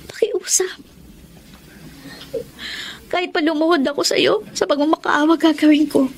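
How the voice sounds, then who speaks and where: A woman's voice: extremely high pitch, slight background noise. A woman playing an emotional, imploring young mother in a Filipino radio drama. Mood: desperate pleading. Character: pleading, tearful young mother begging for her child.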